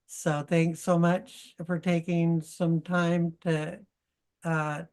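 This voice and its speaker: Low-voiced woman hosting an informal webinar. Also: little pitch movement, a little mellow. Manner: halting, self-correcting, conversational. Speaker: host introducing a guest speaker. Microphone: video call.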